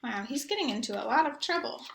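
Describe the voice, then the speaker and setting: A woman doing an expressive read-aloud for young children, with questioning asides to her listeners. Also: high-pitched, fast talker, vocal fry, reverberant.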